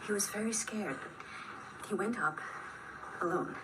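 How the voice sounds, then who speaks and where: A woman's voice: medium pitch, measured pace, natural voice. An actress playing an anxious, sceptical young woman in a radio drama. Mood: worried, hesitant. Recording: distant, noisy radio drama playback.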